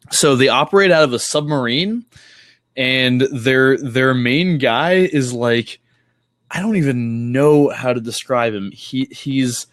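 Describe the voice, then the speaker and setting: A man with a mid-pitched voice talks as a casual chat host in a dry, close space. He rambles and halts, often restarting his phrases, and swears offhandedly. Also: no room sound. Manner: rambling, halting delivery with frequent self-corrections. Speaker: podcast host.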